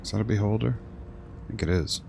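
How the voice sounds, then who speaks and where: A man's voice: lowish voice, quick pace, creaky, background noise. A man giving casual, terse gameplay commentary as he streams a role-playing game, muttering asides. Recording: game music behind the voice. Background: video game music.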